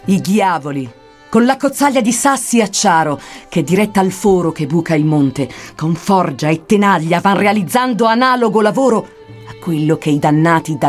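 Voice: medium pitch, natural voice. A grave, wise guide voiced by a reciter declaims prophetic old verse in a radio poetry drama. The tone is solemn and oracular, with light music beneath the voice.

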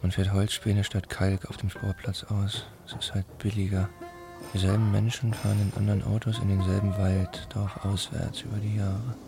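An actor playing a dry, laconic villager in a scripted radio monologue. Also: male voice, on the low side, level tone, normal speed, gravelly, over music. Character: dry, laconic villager describing small-town life.

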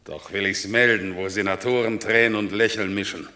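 An actor playing a solemn, generous Roman general in a classical verse radio drama, declaiming ceremoniously. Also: male voice, fairly low pitch, fairly even intonation, moderate pace, pushed and bright. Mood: solemn praise.

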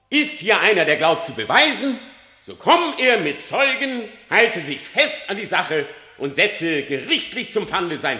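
An actor playing a sly, flattering fox who pleads at a royal court in theatrical, wheedling verse for a radio drama. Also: male voice, on the high side, reverberant, heavily acted. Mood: defiant. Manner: theatrical, wheedling, grandly rhetorical. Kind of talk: classic German radio drama verse acting.

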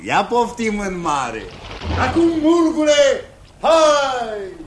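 An actor playing a bold, lively young hero on horseback in a 1960s radio drama. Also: male voice, extremely high pitch. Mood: spirited. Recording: hissy 1960s radio recording.

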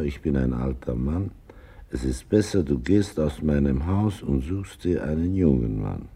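Very deep, gravelly older storyteller. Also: male voice, full character voice. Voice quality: gravelly, husky. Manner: deliberate, gentle, wry. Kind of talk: theatrical radio drama acting.

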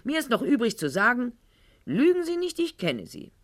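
An actress playing a proud, wounded middle-aged aristocratic lady in a radio play monologue, articulate and ironic, with weighted pauses. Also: fairly high pitch, big pitch swings. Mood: haughty, animated.

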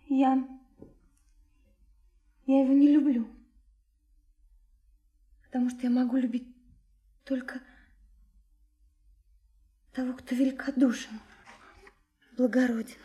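An actress playing an impulsive, trusting young woman in love in a radio play, emotional and halting. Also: sits high, dark tone, breathy edge, exaggerated voice. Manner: breathless, emotional, halting, repeating words. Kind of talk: Russian radio play acting.

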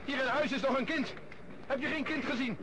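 A man playing a kind-hearted, desperate gentleman rescuer in a radio play, urgent and impulsive yet tender. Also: brisk. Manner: urgent, impulsive, soothing toward the child. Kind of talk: radio drama acting. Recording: very noisy radio play, loud crowd. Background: loud crowd and street rumble.

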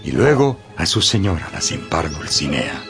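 A man's voice: rumbling low, big pitch swings, moderate pace, music in the background. A man narrating a radio story in a theatrical, scripted style with suspenseful phrasing.

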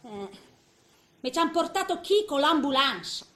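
A woman's voice: sits high, sing-song, a little slow, bright, forceful tone, heavily acted. Grumbling old woman, echoey stage. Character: grumbling, earthy old woman in a care home. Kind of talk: comic stage monologue. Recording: roomy, echoing stage sound.

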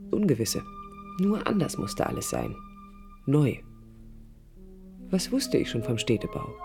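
A woman's voice: extremely low pitch, full character voice. An actress playing a restless, idealistic young woman architect, narrating reflectively in a German radio drama. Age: young adult. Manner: reflective, literary, emphatic short phrases. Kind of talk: 1980s German radio drama, introspective first-person narration.

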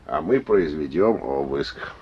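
A man's voice: extremely low pitch, animated, slow pace, husky, exaggerated voice. An actor playing a stern policeman in a Russian radio drama, curt and official with a patronising edge. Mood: cold, condescending. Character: stern, condescending policeman conducting a search. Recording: dull old radio recording.

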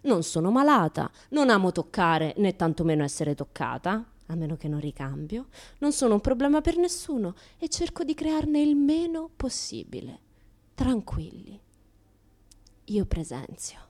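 A woman's voice: mid-range voice, big pitch swings, moderate pace, a little mellow. An actress playing a brash, unashamed, chatty woman with a Roman accent in a comic radio monologue, talking in a colloquial, teasing way. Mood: wry, reassuring. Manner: colloquial, teasing, mimics other people in dialogue. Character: brash, chatty naked woman who turns up everywhere, unashamed.

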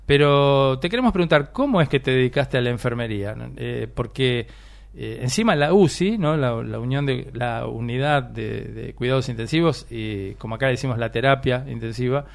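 A man's voice: mid-range voice, moderate pace, creaky. Rambling Argentine man in his sixties. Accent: Argentine Spanish. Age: 60s. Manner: rambling, hesitant with many fillers. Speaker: radio host. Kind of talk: warm radio interview.

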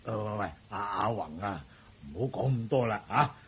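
A man acting a kindly, coaxing old village uncle in a Hong Kong Cantonese radio drama, with a husky voice and repeated soothing phrases. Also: on the low side, lively intonation, normal speed, a bit bright, heavily acted. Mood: eager, urging. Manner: repeats coaxing phrases, many sighs and interjections.

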